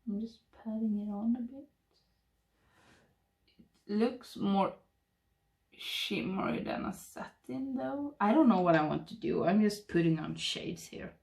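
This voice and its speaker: A woman in her thirties with a Swedish accent, chatting and murmuring softly to the camera while doing her makeup at home. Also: medium pitch, animated, unhurried, vocal fry, pauses often. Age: 30s. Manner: soft, rambling, murmuring while applying makeup. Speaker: beauty vlogger. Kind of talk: casual get-ready-with-me chat. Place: home makeup room, indoors. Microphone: webcam framing at desk.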